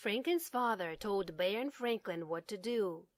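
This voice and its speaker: Unhurried woman reading a storybook. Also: medium pitch, lively intonation, no room sound, studio quality. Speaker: storybook reader. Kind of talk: children's read-aloud narration.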